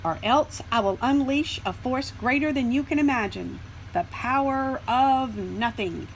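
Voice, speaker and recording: Tiny storybook superhero, woman performing: high-pitched, bold, grandiose, deliberate declaiming. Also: a little hiss or hum, rough recording. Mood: heroic. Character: bold cartoon number zero, showy little superhero.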